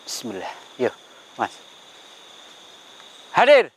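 A man's voice: mid-range voice, drawn-out words, breathy edge, rough recording. Man calling out to spirits. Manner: calls out to spirits, reciting prayers, calm commands. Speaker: spiritual medium on a ghost hunt. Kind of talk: night ghost-hunting vlog. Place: outdoors in dark bamboo forest at night.

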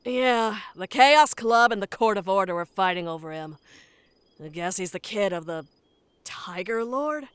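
Woman playing a tough, animated sky-pirate captain. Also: mid-range voice, measured pace, clear, resonant tone, no echo, close to an everyday voice. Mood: curious. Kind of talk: motion comic voice acting. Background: background music.

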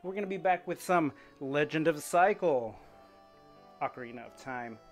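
A man's voice: on the high side, lively intonation, moderate pace, soft background music. Chatty middle-aged man gaming on a bike. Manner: chatty and upbeat, thinking out loud. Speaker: streamer. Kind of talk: casual gaming commentary while cycling. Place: home room with indoor bike.

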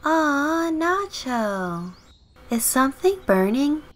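A woman voicing a bright, chirpy young female neighbour, sweet and curious, in a scripted game story. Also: high voice, big pitch swings, slow pace, voice pushed up, heavily acted. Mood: sweet, delighted.

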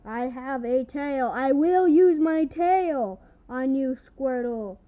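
A woman voicing a hot-tempered little fire lizard creature in a shrill, bossy way, heard through a tinny phone-like recording. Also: expressive, slow pace. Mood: menacing.

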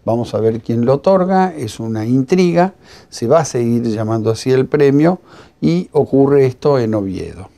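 An older Argentine man hosts a culture programme, speaking to camera in a book-lined room. His voice is dark and gravelly, with a measured presenter's delivery. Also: medium pitch, lively intonation. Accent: Argentine Spanish. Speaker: TV culture show host. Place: library room lined with bookshelves.

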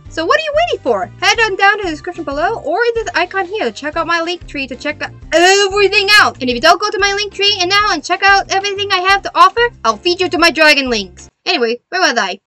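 A young adult woman narrating a craft video as host in a playful, animated, self-mocking way. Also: extremely high pitch, fast, few pauses, faint music behind.

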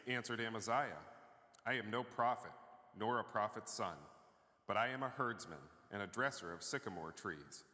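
Middle-aged man reading scripture, bright voice. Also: on the low side. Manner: measured, clear reading aloud. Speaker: pastor. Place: church sanctuary. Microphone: far camera. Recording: long church reverb.

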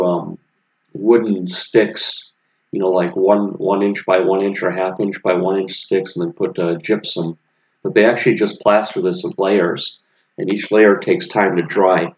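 Deep flat-voiced man, rambling narration. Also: highs cut off. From United States. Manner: relaxed, rambling, many fillers and restarts. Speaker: vlogger showing his apartment renovation. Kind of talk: casual narration over photos.

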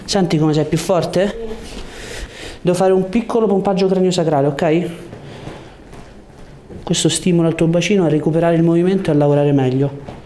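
Bright-voiced, low-key male chiropractor. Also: normal speed, pauses often. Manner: short coaching commands with reassurance. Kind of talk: calm hands-on instructions to a patient. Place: small treatment room indoors. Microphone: lapel mic. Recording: noticeable room background noise.

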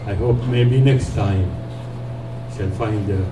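Hoarse man speaking slowly in a roomy hall. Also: on the low side. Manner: slow, friendly, emphatic repeats. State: hoarse, losing his voice. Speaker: guest speaker. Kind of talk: speech to a gathering. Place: community hall, indoors. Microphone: handheld mic, heard from a distant camera. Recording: echoey room, distant mic, background noise.

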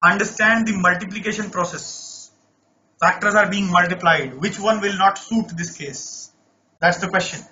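A man runs a live online math class in a high, sharply bright voice. He coaxes students along, checks in with them again and again, and sounds a little off-voice. The audio is dull and lo-fi, with faint noise behind him. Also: moderate pace. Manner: coaxing, repeats checks like "right?". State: voice not normal, says he lost his voice. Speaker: math teacher.

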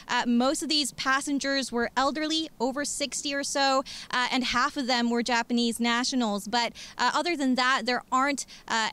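A young woman reporting live outdoors at night by a harbor into a handheld mic, explaining in a measured way with some fillers. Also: high-pitched, fairly bright tone, smooth. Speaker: freelance reporter.

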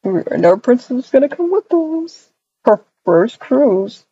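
A woman's voice: fairly high pitch, sing-song, unhurried, warm tone, husky, highs cut off, full character voice. A woman playing an affectionate young mother in an improvised family game roleplay, casual, hesitant and doting. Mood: cheerful, affectionate.

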